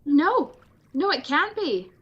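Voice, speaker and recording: An actress playing a detective's playful, quick-thinking wife, arch and genteel, in an old-time radio drama style. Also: female voice, high-pitched, big pitch swings, heavily acted. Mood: shocked. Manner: arch, genteel, matter-of-fact. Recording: dull, lo-fi video call recording.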